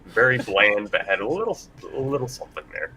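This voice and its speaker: A man moderating a casual live panel discussion, speaking in a halting, loosely rambling way. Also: lowish voice, big pitch swings, a crowd in the background, low-quality recording. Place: convention panel room. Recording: live panel with background noise.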